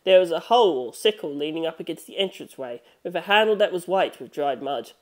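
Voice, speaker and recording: A man's voice: on the high side, animated, brisk. Male narrator, scripted storytelling, audiobook reading.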